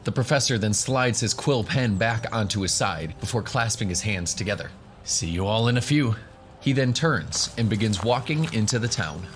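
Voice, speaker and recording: A man with a low voice narrates a fantasy adventure as game master. His delivery is scripted storytelling, set over faint music. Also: expressive, normal speed, hardly any pauses.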